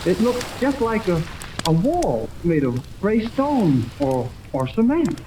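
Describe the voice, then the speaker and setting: An eager young American man with swooping, animated pitch and boyish insistence. He performs in the earnest manner of a 1930s adventure serial, on an old recording full of crackle and hiss. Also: slow, exaggerated voice. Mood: urgent. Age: young adult. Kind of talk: 1930s radio serial acting.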